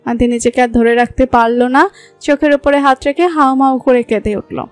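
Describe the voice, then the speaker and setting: A woman narrating a romantic story in a smooth, even storytelling voice. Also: sits high, a little fast, no echo. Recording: soft background music.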